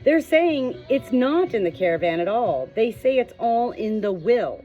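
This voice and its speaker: A nervous, thin man who runs a village pub , as a woman audiobook reader puts him on. He chatters in colloquial, eager-to-please speech, pitched up. The sound is slightly dull, with music behind. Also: big pitch swings, a little slow. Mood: anxious. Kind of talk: audiobook character dialogue.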